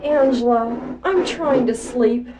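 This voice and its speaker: A woman's voice: a little high, slow, background noise. An actress playing a weary, irritable alcoholic mother in a 1980s educational film drama. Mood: groggy, irritable.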